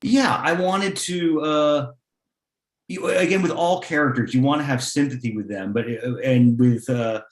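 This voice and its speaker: A man speaking conversationally as a playwright on a panel, in a halting way with restarts. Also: mid-range voice. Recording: echoey room sound.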